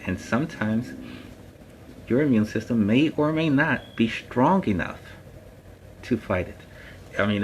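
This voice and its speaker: Man playing an earnest, low-voiced friendly superhero. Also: a little hiss or hum, natural voice, poor audio. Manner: earnest, plainspoken, deliberate emphatic phrasing. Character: friendly Spider-Man superhero, earnest adult storyteller mentor. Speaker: children's storytime host. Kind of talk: casual instructive talk to viewers.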